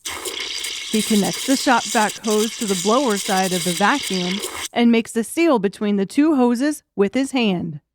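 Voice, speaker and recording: A woman reading scripted narration for a dark thriller audiobook. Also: medium pitch, animated, a little slow, background noise.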